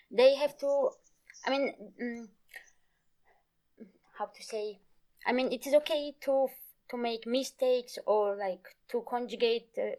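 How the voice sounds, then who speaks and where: Halting woman, slightly high voice. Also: darkish tone, low-quality recording. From Turkey. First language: Kurmanji Kurdish. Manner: halting, searching for words, many "I mean"s. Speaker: guest, Kurdish language teacher. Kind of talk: casual interview conversation.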